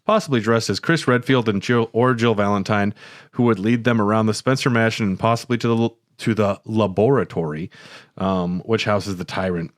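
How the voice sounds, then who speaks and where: A man's voice: on the low side, steady tone, normal speed, no room sound, studio quality. A man co-hosting a podcast, moving between scripted rundown and casual banter. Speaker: podcast co-host.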